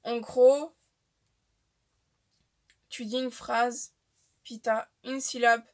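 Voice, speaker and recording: A young woman, chatting casually to the camera about herself with frequent filler pauses. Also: on the high side, level tone. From Portuguese-speaking family, raised in Switzerland. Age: young adult. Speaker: vlogger. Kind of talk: casual facts-about-me vlog.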